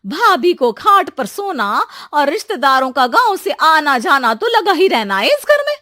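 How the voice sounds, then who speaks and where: A woman voicing a loud, indignant rustic village aunt in a cartoon moral story. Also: high voice, sing-song, measured pace, full character voice. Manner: indignant, exclaiming.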